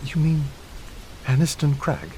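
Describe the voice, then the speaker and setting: An actor playing a gentle, devoted and anxious gentleman husband in a radio drama. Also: fairly high pitch, very animated, unhurried, a little hiss or hum, poor audio. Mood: tender, worried.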